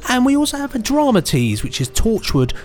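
A man's voice: very high voice, wildly swooping pitch, brisk. A man co-hosting a podcast in a playful, theatrical presenting style. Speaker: podcast co-host.

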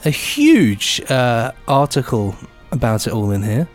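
Male co-host, animated intonation, medium pitch, informal and chipper, faint music underneath. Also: measured pace. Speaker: podcast co-host. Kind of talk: casual chat.

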